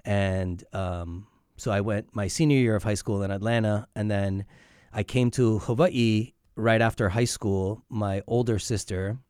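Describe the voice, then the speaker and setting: A man in his forties with a smooth, lowish voice, recorded dry and close. He is a radio interview guest telling personal stories in a reflective, conversational way, pausing to pick his words. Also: fairly even intonation, darkish tone, dry recording. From Iran and upstate New York. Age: 40s. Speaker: interview guest, hip hop artist.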